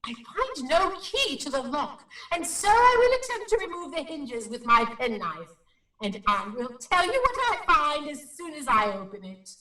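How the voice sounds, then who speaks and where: A woman's voice: high voice, very animated, normal speed, bright, forceful tone, exaggerated voice. A woman playing a solemn, self-important paranormal investigator, reporting in formal, precise phrases in a radio play. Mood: intent, excited. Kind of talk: radio drama acting. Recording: roomy, slightly distant microphone.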